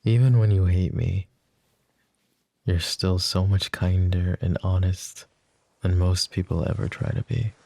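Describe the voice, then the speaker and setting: A man acts out a lovestruck mafia boss in an intimate roleplay ASMR. His voice is very deep and dark, with heavy vocal fry. He speaks in a tender, hesitant, teasing murmur, possessive but self-deprecating. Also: level tone, a little slow, no room sound. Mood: tender, remorseful.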